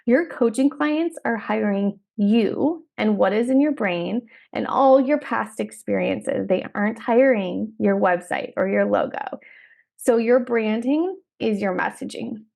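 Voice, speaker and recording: Woman podcast host, 30s, a little hoarse with a cold, gravelly edge, upbeat and direct. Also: fairly high pitch, a little slow, darkish tone. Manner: upbeat, direct coaching talk with restarts. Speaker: podcast host and career coach trainer. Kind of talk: solo podcast talk.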